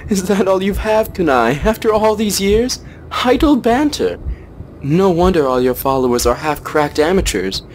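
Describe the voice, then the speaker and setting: Guy as a smug old vampire, breezy n sardonic, swoopy higher voice, audio drama. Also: sing-song, a little slow, slight background noise. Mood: scornful teasing. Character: old vampire, cocky, amused, teasing male fighter.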